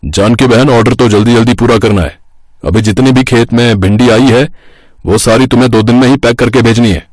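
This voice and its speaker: A man's voice: medium pitch, fairly quick, darkish tone, no room sound, natural voice. A man voicing a chummy, businesslike village merchant in a cartoon moral story. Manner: hearty, businesslike. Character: chummy, brisk village merchant bringing orders.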